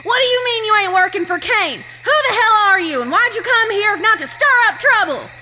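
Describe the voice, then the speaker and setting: A woman playing a fierce but warm-hearted frontier sister in a Western audio drama. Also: very high voice, animated, fairly quick, noisy background.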